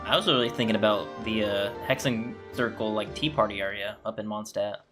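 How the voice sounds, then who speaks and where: A man's voice: lowish voice, steady tone, a little fast. A man giving casual, musing gameplay commentary as a streamer. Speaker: gaming streamer. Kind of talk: casual gameplay commentary, reading on-screen lines aloud. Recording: game music behind voice.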